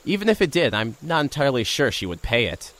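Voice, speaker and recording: A man's voice: mid-range voice, big pitch swings, fast, a bit bright, rough recording. A man narrating an audio drama in the first person and playing a nervous reporter, with an earnest, wry delivery.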